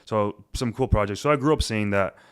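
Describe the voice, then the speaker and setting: A man in his twenties, born in Cuba, talking casually as an interview guest with a relaxed, rambling manner and many fillers. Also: fairly low pitch, fairly quick, clean tone. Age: 20s. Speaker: guest, realtor.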